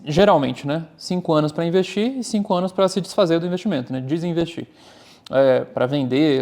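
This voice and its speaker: A Brazilian man, a startup founder talking candidly and energetically as an interview guest. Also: on the high side. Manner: candid, animated, uses "cara" often. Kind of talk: casual interview talk.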